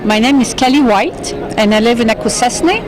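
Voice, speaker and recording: A woman's voice: a little high, expressive, normal speed, background noise. A woman vendor explaining her products in an interview, plain and slightly hesitant. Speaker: craft vendor. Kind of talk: casual interview. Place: outdoor event with crowd.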